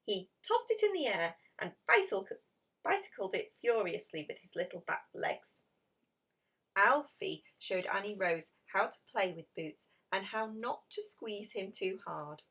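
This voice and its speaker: Animated woman reading a children's story. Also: mid-range voice, unhurried, breathy edge, distant mic. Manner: animated, dramatic emphasis with hushed passages. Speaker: storybook reader. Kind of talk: expressive read-aloud of a children's picture story.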